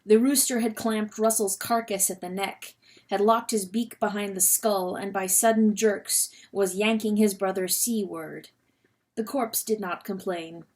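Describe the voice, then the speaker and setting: A woman reading a novel aloud as dramatic narration, expressive and full of suspense. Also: medium pitch, measured pace. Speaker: audiobook reader.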